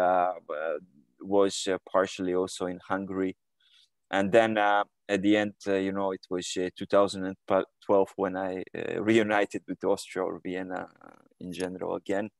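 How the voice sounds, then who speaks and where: A man's voice: sits low, fairly even intonation, moderate pace, vocal fry, no room sound. A man in his thirties from Croatia, answering interview questions on a video call from a room at home, hesitant with many fillers and restarts. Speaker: guest.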